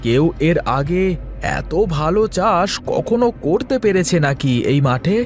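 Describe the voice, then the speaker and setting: A man voices an outspoken village busybody from a cartoon. The voice is pitched high and very animated, familiar and insistent, over faint music. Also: a little slow. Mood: teasing, warning. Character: cautionary, gossipy village man, farmer's outspoken friend. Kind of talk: Bengali cartoon voice acting.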